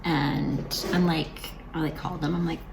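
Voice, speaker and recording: A woman's voice: deep voice, fairly even intonation, normal speed, creaky, noisy background. A woman in her forties talking casually to camera in a vlog, chatty and rambling, with lots of fillers and restarts. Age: 40s. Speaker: vlogger.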